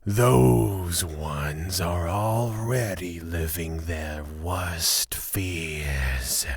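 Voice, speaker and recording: An actor playing a sinister god of fear in a fantasy audio drama, drawling with menacing calm and a gravelly, husky voice. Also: male voice, low voice, dry recording, clean studio sound, exaggerated voice. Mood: quiet menace. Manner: slow, drawn-out, menacing calm.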